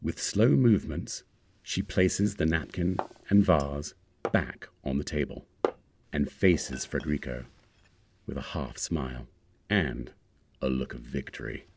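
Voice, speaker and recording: A man's voice: rumbling low, measured pace, vocal fry, background noise. A man reading screen directions aloud as scripted narration for a screenplay.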